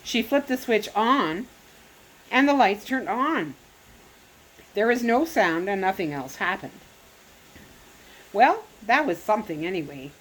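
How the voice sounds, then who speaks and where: A woman reading a children's chapter book aloud as a storyteller, expressive and animated, now and then correcting herself. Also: mid-range voice, unhurried, long pauses, rough recording. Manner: expressive read-aloud with lively rises on exclamations; occasional self-corrections.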